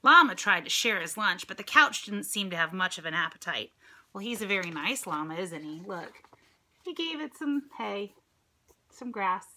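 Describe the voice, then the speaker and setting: A middle-aged woman with an American accent reads a storybook to young children. Her voice is bright and sits at an ordinary pitch for a woman. She leans expressively into the story words, then drops into chatty comments and questions for her listeners. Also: very animated, moderate pace. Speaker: storybook reader, teacher reading to children. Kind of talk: read-aloud of a picture book with chatty asides to young listeners. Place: indoors at home, room with dresser and ceiling fan. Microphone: phone or tablet at arm's length.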